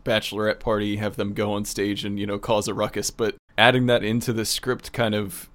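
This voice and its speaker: A man with a fairly low voice chats as an audio producer. His delivery is conversational and informal, suited to a behind-the-scenes discussion. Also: flat delivery, a little fast, a bit bright.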